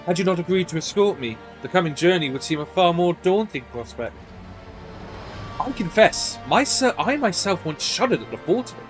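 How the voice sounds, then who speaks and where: Man playing an earnest, courtly fantasy adventurer, lively pitch. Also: soft background music. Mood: earnest, polite. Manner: formal, measured, earnest.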